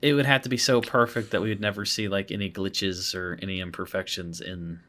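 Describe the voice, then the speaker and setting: A man with a slightly low voice hosts a casual podcast. He talks in a laid-back, noncommittal manner, as in an easy chat about whatever comes up. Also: fairly even intonation, brisk, bright, forceful tone. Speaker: podcast host and video creator.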